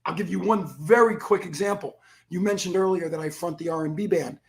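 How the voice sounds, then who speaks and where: A man with a froggy, rough voice telling stories as an energetic interview guest, acting out the quotes. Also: high-pitched, fairly quick. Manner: energetic storyteller who acts out quotes. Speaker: guest, keynote speaker and author. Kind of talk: animated interview talk.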